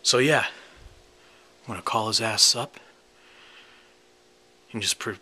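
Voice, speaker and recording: A young man hosting a prank video, talking casually and showily to camera in a drawn-out way. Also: lowish voice, sharp tone, husky. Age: young adult. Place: indoors, basement-like room with a couch.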